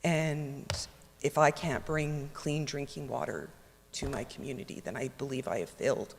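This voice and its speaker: A man speaking as a city councillor in a council debate, hoarse from losing his voice, earnest and halting as he restarts phrases. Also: mid-range voice, a little hiss or hum. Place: council chamber. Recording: reverberant council chamber.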